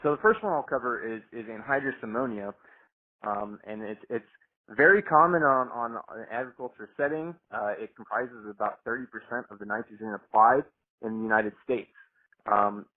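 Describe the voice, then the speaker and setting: A man explaining things informally as a product specialist, halting and often repeating his words. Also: mid-range voice. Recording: tinny, noisy phone conference line.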